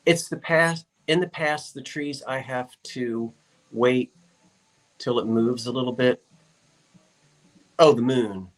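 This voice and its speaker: A man's voice: medium pitch, fairly even intonation, takes it slowly, pauses often, low-quality recording. A man hosting a live-stream glass sale, chatting casually with viewers, with lots of fillers and self-corrections. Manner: chatty, rambling, reads out viewer comments. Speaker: live-stream auction host.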